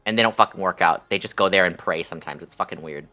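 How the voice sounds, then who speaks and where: A man's voice: deep voice, quick pace, bright, forceful tone, soft background music. A man chatting casually on a livestream in a sassy, campy, slangy way peppered with swearing. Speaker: live streamer.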